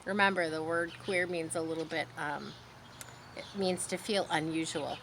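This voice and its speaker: A woman reading a children's story aloud expressively, pausing to explain words in simple asides. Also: sits low, steady tone, noisy background. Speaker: storybook reader.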